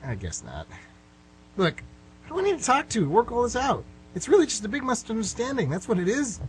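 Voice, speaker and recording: A man's voice: extremely high pitch, wildly swooping pitch, brisk, voice pushed up, full character voice, low-quality recording. A man playing a frustrated middle-aged suspect in a radio play, pleading and backtracking as he rambles. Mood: awkward, then pleading, flustered. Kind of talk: amateur radio play acting. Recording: hum and clear background noise.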